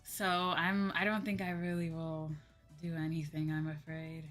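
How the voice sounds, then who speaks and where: A woman hosts a casual livestream in a fairly low voice. She talks informally and a little hesitantly, circling back to repeat her phrases. Faint music plays behind her. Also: little pitch movement, measured pace, a bit bright. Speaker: livestream host. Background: background music.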